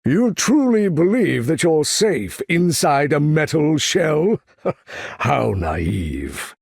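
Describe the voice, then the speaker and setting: A male actor playing a proud, imperious older supervillain commander in a video game, with a gravelly, husky voice and grandiose, menacing, formal delivery. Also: fairly high pitch, very animated, slow, darkish tone, no room sound, clean studio sound, exaggerated voice. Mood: menacing contempt. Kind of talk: theatrical video game character voice lines.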